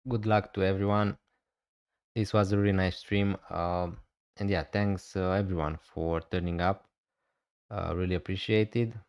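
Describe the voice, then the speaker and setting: Relaxed man streaming live. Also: sits low, measured pace, clear, resonant tone, no room sound, muffled recording. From Romania. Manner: relaxed, hesitant, many fillers. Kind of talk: casual live stream chat.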